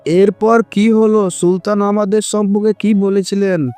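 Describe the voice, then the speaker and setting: Male actor as young cartoon landlord's son, high-pitched, mellow, patronising. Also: level tone, measured pace, soft background music. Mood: coaxing. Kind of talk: cartoon dialogue acting.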